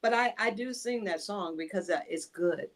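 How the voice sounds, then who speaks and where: A woman's voice: mid-range voice, normal speed. A woman from Illinois, a guest on a talk show, speaking earnestly in casual chat and often restarting her sentences.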